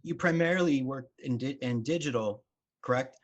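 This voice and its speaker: A man's voice: medium pitch, normal speed, dull audio. A man hosting an interview over a video call, asking rambling, self-correcting questions. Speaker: interviewer host.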